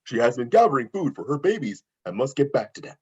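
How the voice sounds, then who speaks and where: A man's voice: medium pitch, sing-song, fast talker, mellow, husky. A man playing an eager, simple-minded talking dog in a cartoon script read, with literal, oddly formal phrasing, on a dull lo-fi microphone. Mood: explanatory. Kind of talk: amateur table read of an animated film script.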